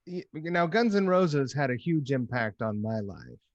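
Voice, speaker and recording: A man's voice: mid-range voice, very animated, measured pace, dry recording, dull audio. A middle-aged man chatting casually as a podcast host into a close studio microphone. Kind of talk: casual chat. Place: small home studio, indoors. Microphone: close broadcast mic on boom arm, headphones.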